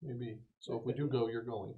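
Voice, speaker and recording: A man with a mid-pitched voice talks in character during a tabletop game. The recording is a little dull and lo-fi. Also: steady tone, normal speed, very noisy.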